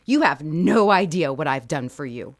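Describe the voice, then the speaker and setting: Actress as a sharp-tongued, animated executive woman. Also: low voice, normal speed, no room sound, clean studio sound. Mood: angry. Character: sharp-tongued, defiant female company vice president.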